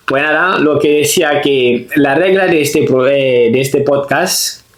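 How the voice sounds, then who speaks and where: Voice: mid-range voice, a little slow. A podcast host from Guinea interviewing a guest, speaking hesitantly with frequent repeated words. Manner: hesitant, repeats words, many thanks and acknowledgments. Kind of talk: casual interview hosting. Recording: echoey room.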